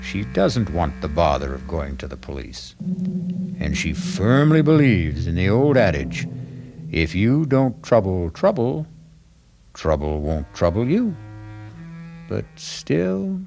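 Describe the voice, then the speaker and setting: A man's voice: low-pitched, sing-song, slow, creaky. A man narrating an old radio mystery as host, with a wry, theatrical delivery. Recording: vintage radio recording with music.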